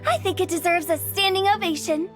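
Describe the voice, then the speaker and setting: A woman's voice: extremely high pitch, fairly quick, over music. A woman voicing a cheeky, playful young funeral parlor director in a video game, with a theatrical, teasing manner. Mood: playful, boastful. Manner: theatrical, teasing, self-praising.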